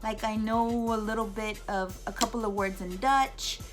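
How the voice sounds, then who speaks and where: A woman in her thirties chatting casually to her camera, answering viewer questions with lots of fillers, restarts and animated asides. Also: mid-range voice, moderate pace, faint music behind. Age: 30s. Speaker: YouTube vlogger.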